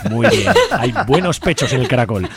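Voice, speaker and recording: A man's voice: mid-range voice, sing-song, moderate pace, a crowd in the background. A man hosting a radio show in a casual, chummy way. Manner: informal, chummy. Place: radio studio.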